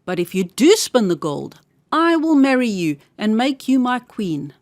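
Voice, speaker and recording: A greedy fairy-tale king, as a woman storyteller puts him on. He is commanding and threatening, calculating as he speaks, with very animated intonation and a dry sound. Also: on the high side, measured pace, no echo. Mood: scheming.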